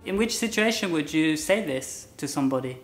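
A British woman with a low-pitched voice talks as an English teacher. Her style is casual and explanatory, and the room around her voice sounds noticeably echoey. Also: lively intonation, fairly quick, soft background music.

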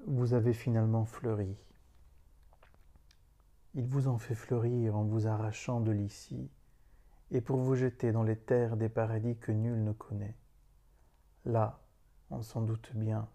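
A man reciting a solemn poem in a grave, meditative manner, leaving long pauses between short phrases. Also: fairly low pitch, dark tone. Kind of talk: solemn spoken poetry recitation.

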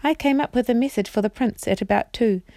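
A woman's voice: fairly high pitch, fairly quick, mellow, no echo, natural voice. A woman voicing a sleepy male owl who talks in hooting rhymes in a storybook reading.

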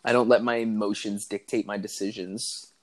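Male guest around thirty, fairly low voice, earnest and reflective, casual chat with many fillers. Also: little pitch movement, moderate pace. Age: 30s. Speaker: podcast guest.

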